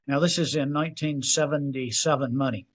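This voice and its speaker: Southern man telling a lively story. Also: medium pitch, a little fast. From North Carolina. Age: 60s. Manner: animated, self-deprecating storyteller. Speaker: podcast guest. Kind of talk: storytelling interview.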